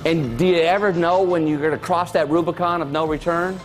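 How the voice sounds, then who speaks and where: A man in his late forties delivering a motivational keynote, talking in a loose, conversational storyteller's way. His voice sits a touch high for a man, with faint music underneath. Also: fairly even intonation, a little fast. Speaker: keynote speaker.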